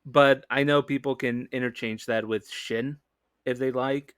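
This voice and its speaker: A man's voice: mid-range voice, steady tone, a little slow, a bit bright, smooth, dry recording, clean studio sound. A man hosting a casual film discussion, conversational and agreeable as he shares his opinions. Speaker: review show host.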